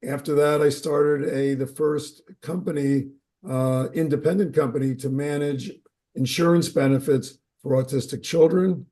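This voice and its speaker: Mellow-voiced male child psychologist. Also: on the high side, steady tone. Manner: measured, with filler pauses. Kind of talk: webinar presentation.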